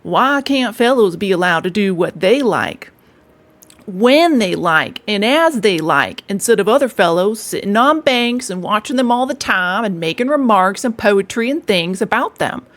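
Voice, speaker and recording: A hearty, good-natured water rat, as a woman puts him on, brisk and sure of himself. He speaks with emphatic cheer, and his voice is a touch louder than the narration around him. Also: mid-range voice, very animated. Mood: cheerful. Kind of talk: storybook read-aloud.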